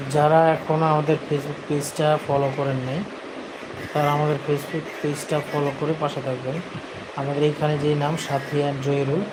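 A man's voice: a little high, very noisy. A young man live streaming, chatting casually with his viewers.

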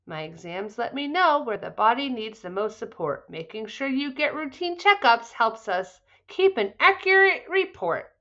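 A woman reads a kindly storybook safari doctor. He explains things reassuringly in a bright, clear-cut voice, with slightly dull webcam sound. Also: fairly high pitch, very animated, moderate pace, hardly any pauses. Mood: instructive. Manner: instructive, reassuring. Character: kindly male safari chiropractor explaining health.

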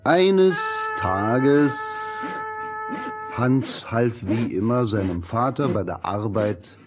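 A man narrating a fairy tale as a storybook narrator, deliberate and expressive. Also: mid-range voice. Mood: storytelling. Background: music.

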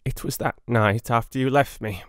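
A man voicing a dying asylum patient, weak, breathy and halting, pleading urgently. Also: medium pitch, fairly bright tone, dry recording, clean studio sound, pitched above the performer's own voice, lightly acted. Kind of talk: audiobook character voice.

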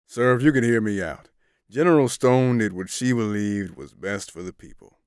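A grandfatherly male schemer played by an actor in an audio drama. He speaks softly and slyly in a low, dry voice, smug and condescending. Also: smooth, dry recording, natural voice. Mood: polite, smooth. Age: older. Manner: soft, unhurried, condescending. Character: smug, snake-like grandfatherly male agent, soft-spoken schemer.

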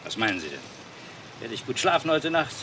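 An actor playing a wealthy house owner under threat, wry and uneasy, in a German crime radio play. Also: male voice, medium pitch, big pitch swings, a little fast, pushed and bright, far from the mic, slight background noise, poor audio.

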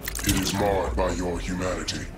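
Loud music plays around a low, flat-toned man acting as a machine intelligence from a video game. It speaks formally and coldly, with arrogant, deadpan menace. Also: normal speed, natural voice. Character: cold, emotionless, arrogant evolved male machine intelligence. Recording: loud music over the speech.

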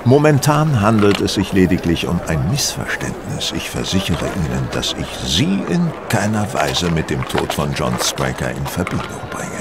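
Superior polite detective in an audio drama, very lively pitch, noisy outdoor background. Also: male voice, creaky, full character voice. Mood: calm, teasing. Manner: courteous, theatrical, precise explaining. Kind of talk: German audio drama acting.

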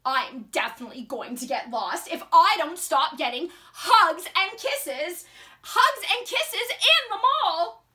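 A woman's voice: extremely high pitch, expressive, takes it slowly, bright, forceful tone, full character voice. A woman voicing an exasperated young boy in a children's story, whining and protesting melodramatically. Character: exasperated, dramatic young boy mortified by his mother.

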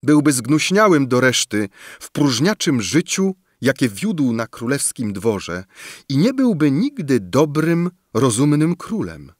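A man reading a storybook and voicing a kindly old female fairy godmother who speaks warmly and solemnly. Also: mid-range voice, sing-song, slow, no room sound, clean studio sound, pitched above the performer's own voice. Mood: benevolent. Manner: warm, solemn, lecturing. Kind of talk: audiobook storytelling.

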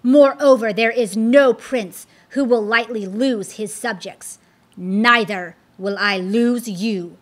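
Storybook villain: woman doing a menacing male fiend, forceful and hateful, higher-set and unhurried. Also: expressive, heavily acted. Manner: loud, threatening, hateful declamation. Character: menacing male fiend, proud prince of destruction. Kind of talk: storybook read-aloud.

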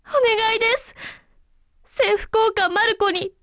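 Very high-voiced, pleading wronged wife. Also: female voice, fairly bright tone, no room sound, studio quality. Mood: pleading, sorrowful. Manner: formal, pleading, measured. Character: sorrowful, polite wronged wife pleading for justice. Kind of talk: Japanese fighting game voice acting.

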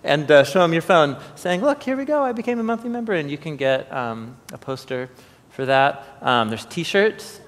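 A man giving casual live announcements from a stage as host, in an informal, halting, chatty manner. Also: a little high, very animated. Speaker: host and presenter. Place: theatre-style church sanctuary stage, indoors. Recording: echoey hall, stage event.

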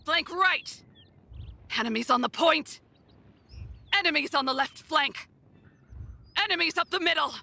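A woman voicing a haughty, menacing sorceress in a video game, theatrical and breathy. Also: sits high, unhurried, pauses often, bright, forceful tone, heavily acted. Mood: urgent commanding. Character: sinister, haughty dark sorceress with godlike contempt. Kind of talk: video game character voice lines.

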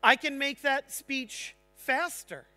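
A man speaking on a large conference stage, giving an energetic technical talk and checking in with the audience. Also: very high voice, slow, soft background music. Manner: energetic, engaging, asks the audience "right?".

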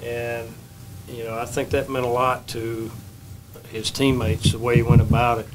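An older man, a baseball head coach, answering questions at a postgame press conference in a plain, measured way at a table microphone. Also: medium pitch, level tone, slight background noise, poor audio. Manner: measured, plain-spoken, many restarts. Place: press room. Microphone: table mic.

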